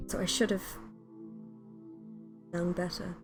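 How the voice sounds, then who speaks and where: A woman's voice: on the low side, little pitch movement, takes it slowly, over music. A woman voicing the regretful, badly wounded narrator of a spooky visual novel. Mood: pained, bitter.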